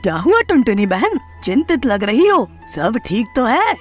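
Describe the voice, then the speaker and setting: A woman's voice: on the high side, sing-song, moderate pace, soft background music. A woman voicing a caring, protective male bird neighbour in an earnest, reassuring manner for a children's cartoon. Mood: concerned.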